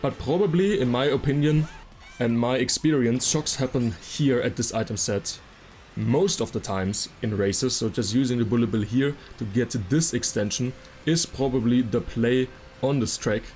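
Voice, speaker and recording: A man's voice: mid-range voice, moderate pace, darkish tone, a little hiss or hum. A man giving casual, detailed explanatory commentary as a video game guide creator. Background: game music.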